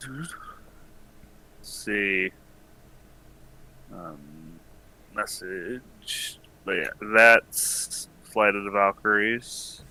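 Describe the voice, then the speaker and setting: A man chatting casually and haltingly with others on a livestream, his thoughts trailing off. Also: fairly low pitch, sharp tone, a little hiss or hum, low-quality recording. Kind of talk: casual group chat on a livestream.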